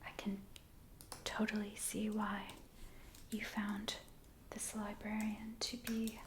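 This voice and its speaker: A woman doing a soft-spoken ASMR roleplay as a biographer, gently and attentively addressing the listener as her client. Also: mid-range voice, completely flat delivery, slow, a little hiss or hum.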